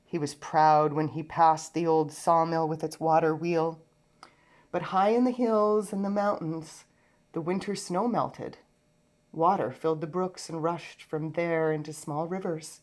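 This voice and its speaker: A woman narrating a children's storybook aloud in an expressive storytelling manner. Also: low voice, a little slow. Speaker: storybook reader.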